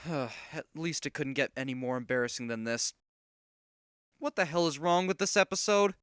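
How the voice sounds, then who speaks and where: A man's voice: fairly high pitch, moderate pace, fairly bright tone, smooth, no echo, studio quality, natural voice. A man voicing a clueless, whiny young superhero team leader, easily exasperated, in a cartoon parody dub.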